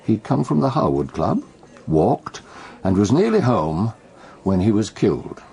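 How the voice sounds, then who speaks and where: A man with a British accent acting in a radio drama as a brooding, enigmatic storyteller who recounts crimes with deliberate, theatrical phrasing. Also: fairly low pitch, sing-song, dark tone, husky, full character voice. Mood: suspenseful.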